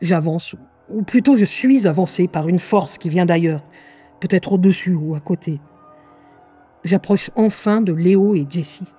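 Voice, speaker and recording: A woman's voice: on the low side, unhurried, warm tone, slightly rough, soft background music. An actress playing a weary, sardonic woman who narrates her diary in a confiding way in a French radio drama. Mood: weary, wry. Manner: wry, exasperated, confiding.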